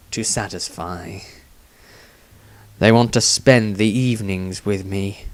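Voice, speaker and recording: A man voicing a brooding, hollow, menacing male adventurer in a fantasy audiobook, terse and halting. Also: lowish voice, dry recording, pitched below the performer's own voice. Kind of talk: fantasy audiobook character reading.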